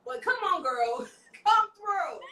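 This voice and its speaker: A woman's voice: very high-pitched, big pitch swings, slow pace, roomy sound, distant mic, heavy background noise. A woman hosting a podcast in casual chat, playful and a little tipsy from wine, slightly slurring. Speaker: podcast host.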